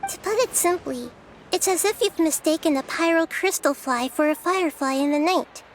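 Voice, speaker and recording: A woman voicing a small, serene, childlike goddess in a video game, speaking in a measured, gently teasing way. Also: high-pitched, noisy background. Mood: cryptic, guiding. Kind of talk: video game cutscene acting.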